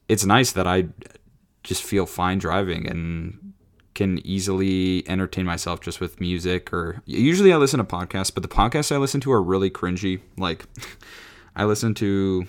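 A man in his twenties hosting his own podcast, rambling casually with lots of fillers and restarts, his voice raspy from singing. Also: sits low. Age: 20s. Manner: rambling, self-correcting, frequent fillers. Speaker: podcast host. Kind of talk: rambling solo podcast chat.

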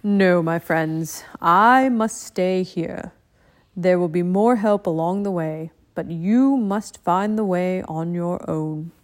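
A woman voicing a calm, wise old male ninja master in a storybook reading, speaking slowly and solemnly. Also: lowish voice. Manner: slow, solemn.

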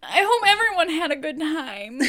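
A woman's voice: high voice, sing-song, moderate pace, sharp tone. Rambling young woman podcaster. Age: 20s. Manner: rambling and self-mocking, trails off into mumbles. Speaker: podcast co-host. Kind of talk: casual chat.

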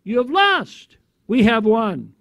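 A man's voice: very high-pitched, very animated, slow pace, a bit bright, dry recording, clean studio sound, pitched above the performer's own voice, heavily acted. A man voicing a triumphant divine lion in a sermon, proclaiming victory in a chant.

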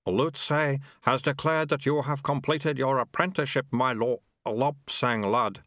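A man's voice: medium pitch, steady tone, a little slow, fairly bright tone, no echo, clean studio sound, pitched above the performer's own voice, close to an everyday voice. A man doing a flustered, stammering monastery official. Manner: nervous, stammering.

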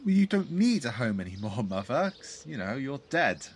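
A man's voice: medium pitch, very animated, normal speed, heavy background noise. A man playing a timid, henpecked grown son in an audio play, hesitant and stammering, with dry exasperation. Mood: hesitant, apologetic.

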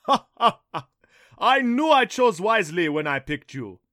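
Booming, jovial thunder god. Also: male voice, very animated, unhurried, no echo, studio quality, heavily acted. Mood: hearty, proud. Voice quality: loud, booming. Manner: laughs heartily, exclaims grandly.

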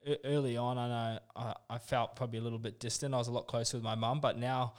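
A man's voice: mid-range voice, little pitch movement, fast talker. A man from New Zealand with a New Zealand accent, hosting a podcast in a laid-back, casual manner with frequent swearing and laughter. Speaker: podcast host. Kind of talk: casual interview chat.

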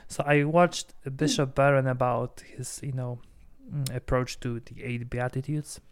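A man's voice: mid-range voice, fairly even intonation, a little slow, smooth, no room sound. A man who is a priest with Polish as his first language, chatting casually on a podcast with lots of fillers and restarts.

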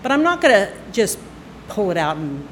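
A woman in her sixties tells a personal story at a live storytelling night, speaking into a stage microphone. Her telling is unscripted and halting, full of small pauses and fillers, and she laughs easily. Her voice sits at a middle pitch with a faint hiss of noise behind it. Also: big pitch swings. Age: 60s.

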